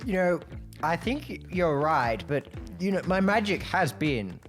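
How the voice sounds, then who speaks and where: A performer playing a dry, self-assured magic user in improvised comedy roleplay, unhurried and hedging. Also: medium pitch, animated, soft background music, close to an everyday voice.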